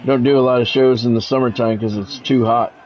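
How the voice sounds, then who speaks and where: A man's voice: medium pitch, fairly even intonation, fairly quick, a little mellow, slight background noise, poor audio. A man streaming a sports game, mixing radio-style play-by-play with casual chat.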